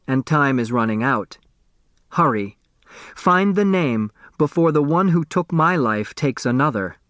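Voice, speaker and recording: A man's voice: mid-range voice, animated, measured pace, fairly bright tone, no echo, clean studio sound. A man voicing an insistent, argumentative woman secretary in an audiobook story. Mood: urgent. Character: shrill, insistent woman secretary reading out and arguing.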